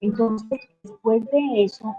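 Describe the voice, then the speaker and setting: A middle-aged woman with a Colombian Spanish accent tells her life story as an interview guest, reflective and unhurried, with many hesitations. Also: on the high side, warm tone, husky, muffled recording, faint music behind.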